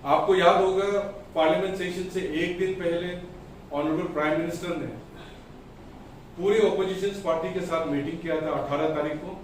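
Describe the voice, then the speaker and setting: A man delivers a political press statement as a party spokesperson, emphatically and deliberately in short phrases. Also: sits high, fairly even intonation. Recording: echoey room, distant mic, faint noise.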